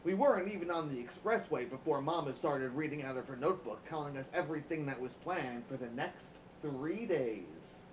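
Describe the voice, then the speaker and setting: A man's voice: on the high side, lively intonation, a little fast, hardly any pauses. A man reading a children's novel aloud as a storyteller. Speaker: story-time reader. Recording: roomy, dull, faint background noise.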